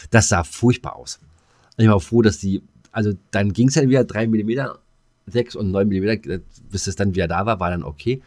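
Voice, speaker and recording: A man's voice: lowish voice, a little fast, darkish tone, dry recording, clean studio sound. A middle-aged man, chatting casually as a podcast co-host. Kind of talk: casual chat.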